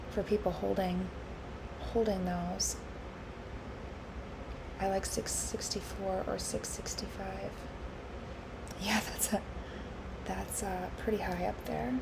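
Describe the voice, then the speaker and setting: A woman live-streaming her futures trading, congested and hoarse from allergies, thinking aloud with lots of fillers and restarts. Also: mid-range voice, steady tone, a little slow, long pauses, background noise. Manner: musing, with frequent fillers and restarts.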